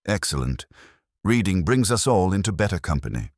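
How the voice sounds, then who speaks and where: Observant, dry-witted detective for a mystery story man narrating the part, low voice, polite understatement, close dry sound. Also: big pitch swings, normal speed, darkish tone, no room sound. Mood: observant, courteous.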